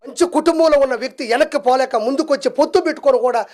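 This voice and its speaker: High-pitched fast-talking scornful man. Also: steady tone, very noisy. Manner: scornful, insulting, forceful. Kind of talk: mocking political rant.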